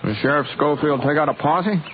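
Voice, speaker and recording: An American actor playing a modest, easygoing drifting gunslinger with halting, folksy speech in a 1950s radio western. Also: male voice, on the high side, a little hiss or hum, lightly acted. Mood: mild, modest. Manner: hesitant, halting, folksy understatement. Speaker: lead character and narrator. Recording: muffled old radio recording under music.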